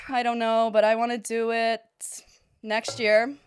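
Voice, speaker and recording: A young woman from the United States, chatting as a podcast guest in a quick, self-deprecating way. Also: on the high side, little pitch movement, clear, resonant tone. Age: young adult. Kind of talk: casual chat.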